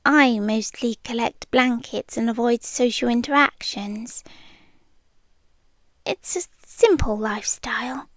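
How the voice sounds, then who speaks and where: A British woman playing a shy, smitten monster from under the bed in an ASMR roleplay, speaking softly and tenderly, often in whispers, close to the microphone. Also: on the high side, no echo. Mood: bashful, wry. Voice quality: soft, breathy, often dropping into whispers. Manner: slow, hesitant, tender delivery. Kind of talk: ASMR roleplay, intimate monologue. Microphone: close microphone, dry.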